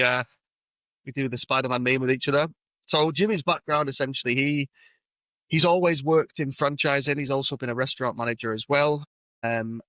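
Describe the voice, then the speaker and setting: A man's voice: medium pitch, pushed and bright, no room sound. A man with a British accent hosting a podcast in a casual, matey interview style. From United Kingdom. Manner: matey, informal banter. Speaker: podcast host.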